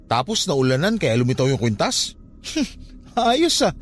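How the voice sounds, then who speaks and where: Animated, defensive young nephew. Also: male voice, medium pitch, a little slow. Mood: curious disbelief. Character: defensive, curious young nephew caught in mischief. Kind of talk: radio drama acting.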